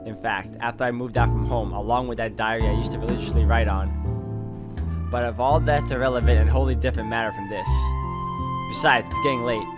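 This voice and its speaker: A man's voice: mid-range voice, fairly even intonation, a little fast, loud music behind the voice. A man reading first-person visual novel narration aloud as he plays through the game.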